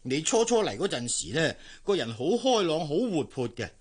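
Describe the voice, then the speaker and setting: A man's voice: fairly high pitch, very animated, normal speed, breathy edge. An actor playing a kindly, fatherly older man in a Cantonese radio drama, with a Hong Kong accent and a sighing, concerned way of talking.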